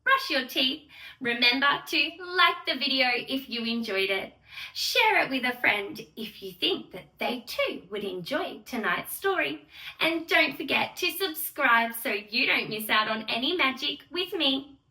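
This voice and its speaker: A woman's voice: sits high, animated, measured pace, bright, forceful tone. A woman reading a bedtime story aloud to small children in a theatrical sing-song way, talking directly to her young listeners. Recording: roomy echo, distant microphone.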